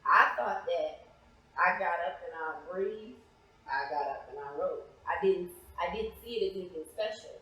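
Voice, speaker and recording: A woman's voice: lowish voice, moderate pace, pushed and bright. An American woman answering interview questions conversationally, in a reflective, earnest way. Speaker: interview guest, playwright and author. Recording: very echoey, reverberant room.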